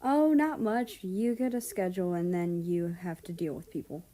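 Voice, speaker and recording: A woman's voice: fairly low pitch, normal speed, mellow, close to an everyday voice, poor audio. A woman voicing a salty teenage brother in a story, teasing and sardonic. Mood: mischievous.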